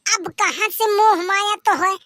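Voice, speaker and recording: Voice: very high voice, steady tone, a little slow, a bit bright, dry recording, clean studio sound. A performer voicing a cheeky, scheming village lad in an Awadhi comedy cartoon, with an Awadhi accent and a teasing, argumentative manner. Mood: teasing, wheedling.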